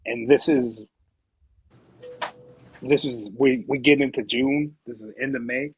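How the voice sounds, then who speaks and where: A man's voice: mid-range voice, steady tone, measured pace, narrow, tinny audio, a little hiss or hum. A man talking in an emphatic, slangy way as a podcast guest, often checking that the listener follows. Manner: emphatic, slangy, keeps checking "you know what I'm saying". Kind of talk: casual chat.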